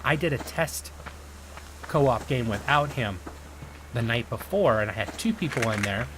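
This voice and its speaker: A man talking his viewers through a game in a rambling, easygoing way, his voice worn and starting to go. His pitch sits in an ordinary middle range. A steady hiss of noise and mouse clicks runs under the recording. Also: unhurried, low-quality recording. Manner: rambling, chatty, talks to viewers while playing. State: losing his voice. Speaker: gaming video host. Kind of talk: casual gameplay commentary.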